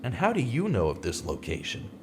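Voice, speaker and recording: A man acting a animated, skeptical male sleuth. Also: mid-range voice, background noise. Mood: suspicious. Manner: questioning, dramatic, deliberate. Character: skeptical, dogged male amateur investigator, brooding and puzzled. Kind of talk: vintage radio mystery acting and narration. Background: music.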